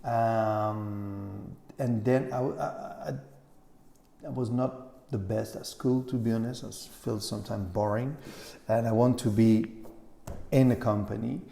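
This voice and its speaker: A middle-aged man with a French accent chatting as an interview guest, speaking non-native English haltingly and searching for words. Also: on the low side, steady tone, vocal fry, mellow. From Grenoble, France. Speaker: interview guest, cheese shop owner. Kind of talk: casual conversational interview. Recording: echoey room with long reverb.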